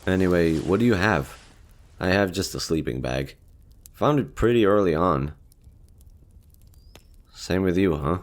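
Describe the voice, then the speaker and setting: A man's voice: low voice, expressive, unhurried, pauses often. A man acting as a lonely, easygoing survivor of a nuclear apocalypse in an audio roleplay, talking casually and candidly with some hesitation. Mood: casual, upbeat.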